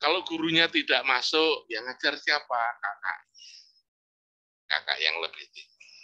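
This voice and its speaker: Older man, professor giving a campus talk, very bright tone, storytelling lilt. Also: mid-range voice, measured pace, muffled recording. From Indonesia. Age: 60s. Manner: storytelling, lively rising emphasis, religious exclamations. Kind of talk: informal religious study lecture.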